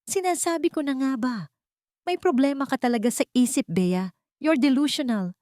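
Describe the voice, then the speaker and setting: A woman's voice: high-pitched, moderate pace, darkish tone, no echo, voice pushed up, lightly acted. Woman voicing a smug, two-faced rich young man. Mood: scornful, defensive.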